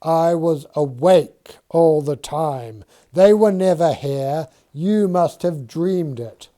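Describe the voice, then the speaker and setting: A man's voice: fairly high pitch, slow pace, warm tone, pitched above the performer's own voice. A man voicing a smirking, skeptical surveyor who talks in a dry, needling, old-fashioned formal way. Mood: defensive.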